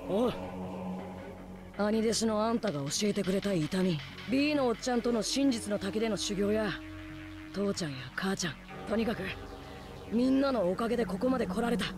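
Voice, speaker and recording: An actor voicing a brash, earnest young male ninja hero in an anime game, with rough, boyish, informal speech. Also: medium pitch, normal speed, close to an everyday voice. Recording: game dialogue over music.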